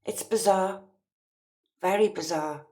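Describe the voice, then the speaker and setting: A woman's voice: medium pitch, slow pace, warm tone. A woman giving casual true crime reaction commentary in a blunt, scornful way.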